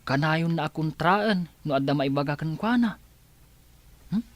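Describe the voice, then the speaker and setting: A young man playing a gentle, caring son. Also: fairly high pitch, expressive, unhurried, no room sound. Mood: earnest. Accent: Ilocano. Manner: soft, concerned. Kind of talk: Ilocano audio drama.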